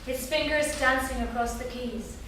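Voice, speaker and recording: A woman's voice: sits high, fairly even intonation, moderate pace. A woman narrating scripted stage directions at a staged reading. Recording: echoey theatre, distant mic, loud noise.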